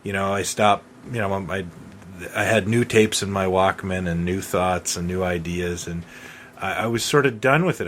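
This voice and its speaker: Faint background noise, low male voice, middle-aged, casual reminiscing, podcast co-host. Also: a bit bright.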